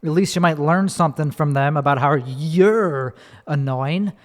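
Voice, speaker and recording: A man's voice: a little high, measured pace. A man with a Chicago accent, a comedian ranting profanely and rambling through a solo podcast monologue, often restarting mid-sentence. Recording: long reverb, echoey room.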